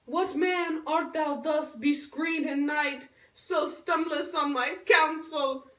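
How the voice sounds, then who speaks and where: A lovesick, dramatic teenage girl in a puppet play , voiced by a woman declaims verse in a very high voice at a slow pace. The room echoes heavily around the distant voice. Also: fairly even intonation, fairly bright tone. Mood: wondering, anxious. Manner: slow, theatrical declaiming with big swells. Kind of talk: Shakespeare recited for a school puppet show. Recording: echoey room, far from the mic.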